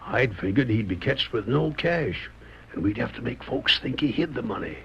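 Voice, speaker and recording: An actor playing a crooked, smug and scheming sheriff in an old radio western, with a gravelly folksy drawl. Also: male voice, mid-range voice, expressive, moderate pace, a bit bright. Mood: conspiring. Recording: dull vintage radio recording, faint noise.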